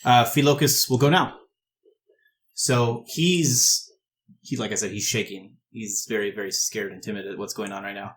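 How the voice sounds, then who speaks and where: A man's voice: lowish voice, moderate pace. A man improvising descriptive narration as game master of a tabletop game.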